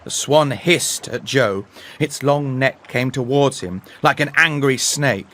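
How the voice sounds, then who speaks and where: A man reading a children's story in a measured, deliberate, dramatic way. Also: medium pitch, fairly bright tone. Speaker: story narrator. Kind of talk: expressive scripted storybook narration for learners.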